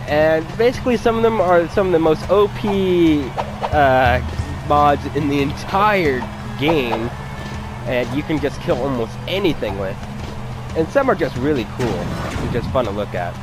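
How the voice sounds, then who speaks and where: Over loud game music, a man with a fairly high voice gives casual gameplay commentary. His pitch moves around a lot as he talks to viewers as host. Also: expressive, unhurried, low-quality recording. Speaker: let's play host. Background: video game music and vehicle sounds.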